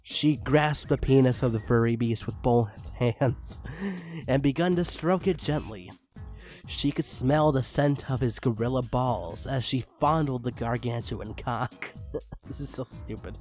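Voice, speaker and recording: A man's voice: medium pitch, unhurried. A man reading fan fiction aloud in a mock-dramatic style, breaking off for amused asides. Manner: animated, breaks off to comment. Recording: music under the voice. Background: background music.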